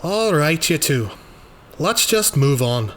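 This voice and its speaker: Audio drama role: skeptical small-town police chief, male man performing, blustering and condescending, loud forceful delivery. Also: a little high, sing-song, takes it slowly, slight background noise, pitched above the performer's own voice, heavily acted. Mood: stern, impatient. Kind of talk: dramatized audio journal, character dialogue.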